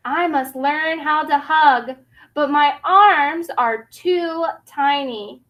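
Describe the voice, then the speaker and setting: A woman's voice: high voice, takes it slowly, highs cut off. A woman voicing a tiny young T. rex from a children's storybook, childlike, earnest and determined, in short careful sentences. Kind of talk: children's storybook read-aloud.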